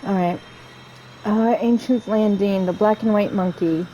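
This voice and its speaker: A woman giving casual let's-play commentary, chatting and thinking out loud as she plays and reads bits aloud. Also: medium pitch, fairly even intonation, measured pace, highs cut off, a little hiss or hum. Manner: chatty, thinking out loud, trails off and repeats herself. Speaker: gamer playing and reading game dialogue aloud.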